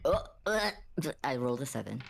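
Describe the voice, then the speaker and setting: A woman's voice: rumbling low, big pitch swings, a little fast, vocal fry. A woman chatting casually as a player in a tabletop game.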